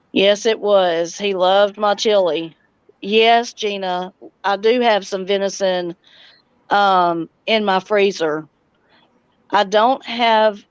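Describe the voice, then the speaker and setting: A woman's voice: medium pitch, clear, resonant tone, dry recording, highs cut off. A middle-aged woman hosting a casual livestream, chatting and answering viewer comments. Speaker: livestream host and homesteading vlogger.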